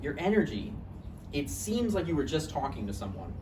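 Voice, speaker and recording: A man's voice: fairly high pitch, sing-song, normal speed. Man acting tired Roger, distant echoey recording. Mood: needy, suspicious. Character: Roger, tired, anxious, needy man being left by partner. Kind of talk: naturalistic play table read. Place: echoey room at a live table read. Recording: echoey, noisy room, distant mic.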